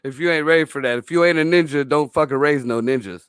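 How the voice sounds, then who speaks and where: A man's voice: on the high side, quick pace, a bit bright, clean tone, no room sound. A man telling stories in casual chat, blunt and full of profanity.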